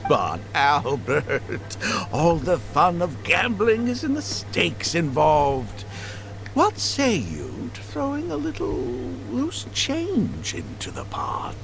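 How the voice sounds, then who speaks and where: Older male guide for a game: slightly high, hugely animated, polite, hesitant and theatrical, music behind. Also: slow, creaky, background noise, exaggerated voice. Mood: grumbling, wheedling. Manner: hesitant, courteous, theatrical delivery. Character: genial older male guide, wheedling, easily flustered gambler. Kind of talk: video game voice acting.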